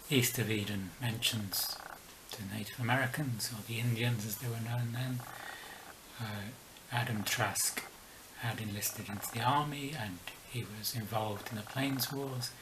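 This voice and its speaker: A middle-aged man with a weak London accent, talking through a book review in a measured, halting way. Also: lowish voice, noisy background. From England. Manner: measured, halting with pauses and fillers. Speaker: book vlogger. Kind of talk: casual book review talk.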